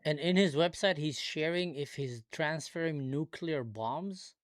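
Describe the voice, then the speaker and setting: A man's voice: on the high side, unhurried, no echo, clean studio sound. A man born in Israel with Arabic as his first language, hosting a live video-chat debate stream and coolly pressing strangers with pointed, sarcastic questions. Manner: probing, calmly sarcastic questioner. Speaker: livestream host.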